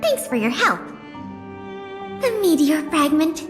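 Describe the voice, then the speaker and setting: A woman's voice: high voice, sing-song, takes it slowly, full character voice. A woman voicing an earnest young museum official who talks eagerly and politely. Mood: bright. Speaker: museum official in a game story. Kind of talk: scripted game dialogue. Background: game music.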